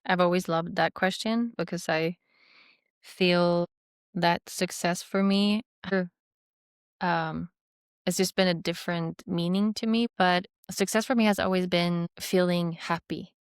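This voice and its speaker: A woman's voice: on the low side, steady tone, unhurried, clear, resonant tone, no room sound, studio quality. A woman in her thirties with a Swedish accent, a podcast guest reflecting and rambling with fillers. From Sweden. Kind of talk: casual interview chat.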